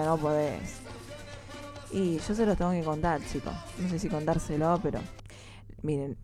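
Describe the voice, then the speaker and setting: A woman's voice: deep voice, mellow. A woman from Mendoza, Argentina, with an Argentine accent, hosting a morning radio show and chatting directly with her listeners. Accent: Argentine, mixed Mendoza and Córdoba. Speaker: radio host. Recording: music playing behind the voice. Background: music.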